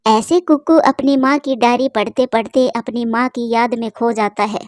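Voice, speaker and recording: A woman's voice: a little high, fairly even intonation, moderate pace, darkish tone, no echo, clean studio sound. A woman narrating a scripted cartoon story.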